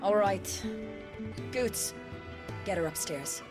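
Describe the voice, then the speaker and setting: An actress playing a capable healer in a video game cutscene, brisk and reassuring. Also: female voice, mid-range voice, lively intonation, over loud music. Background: loud orchestral game score.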